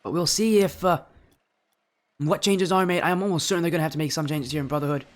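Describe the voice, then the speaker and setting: A young man doing solo play-by-play commentary for an esports match, sounding a little stuffy and yelling in high bursts at big plays. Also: fast talker. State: slightly stuffy from allergies. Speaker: esports caster.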